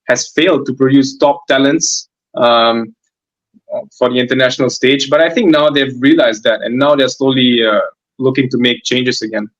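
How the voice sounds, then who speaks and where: A man's voice: mid-range voice, highs cut off. A man in his twenties from Germany, a footballer answering interview questions, hesitant, with many fillers and restarts. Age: 20s. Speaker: guest footballer.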